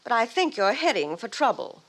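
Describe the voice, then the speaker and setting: A knowing gossipy girlfriend, lilting highish voice, scolding, 1950s radio play style. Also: big pitch swings, normal speed, fairly bright tone, slight background noise, poor audio. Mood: scandalized, chiding. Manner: knowing, chiding. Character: gossipy, conventional, bossy young woman confidante. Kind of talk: 1950s radio drama acting.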